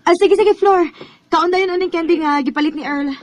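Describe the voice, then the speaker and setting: A woman's voice: very high-pitched, normal speed, a little hiss or hum. An actress playing a playful, encouraging teenage schoolgirl in a radio drama, with a Cebuano accent and a teasing manner. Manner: giggly, teasing. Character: playful, encouraging teenage high school girl, best friend. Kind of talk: Bisaya radio drama acting.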